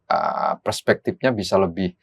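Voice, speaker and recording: A man's voice: sits low, normal speed, gravelly, slightly rough, no room sound. A man giving analytical political commentary as a guest, explaining things in formal, academic vocabulary.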